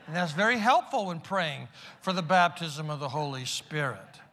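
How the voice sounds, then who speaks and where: A man preaching a sermon as pastor, moving from loud emphatic calls to quiet, hushed asides. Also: a little high, expressive, moderate pace. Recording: long reverb of a large hall.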